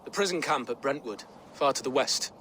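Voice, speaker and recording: A man's voice: medium pitch, a little fast, pushed and bright, a little hiss or hum, natural voice. A young man playing an anxious, earnest nineteen-year-old peasant in a period game, with naive, quaint phrasing. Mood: wary, indignant. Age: young adult. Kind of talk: dramatic period game acting.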